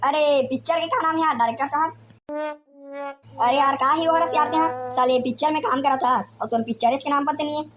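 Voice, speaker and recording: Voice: sits high, fairly even intonation, brisk, fairly bright tone, soft background music. A performer voicing brash, bickering cartoon film folk with a Chhattisgarhi accent and slangy village speech. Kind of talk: comedy cartoon dialogue in colloquial village speech.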